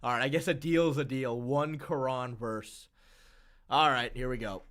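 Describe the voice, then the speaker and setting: Man, streamer host, loose rambling chat, mid pitch, talks to viewers. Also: a little slow, a bit bright. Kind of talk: casual live-stream chat.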